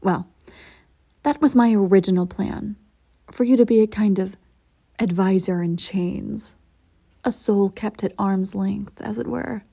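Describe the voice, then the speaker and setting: A woman playing a centuries-old evil empress in an intimate audio roleplay, speaking formally and deliberately, cold and smugly possessive, sometimes dropping toward a whisper. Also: mid-range voice, warm tone. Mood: confiding, imperious. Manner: formal, measured, arch diction with breathy near-whispered drops. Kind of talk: scripted audio roleplay monologue, intimate direct address.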